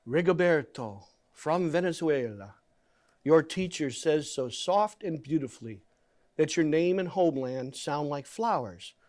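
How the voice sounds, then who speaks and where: Higher-voiced man reading a picture book aloud. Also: expressive, measured pace, a little mellow. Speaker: guest story reader. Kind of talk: expressive read-aloud of a children's picture book.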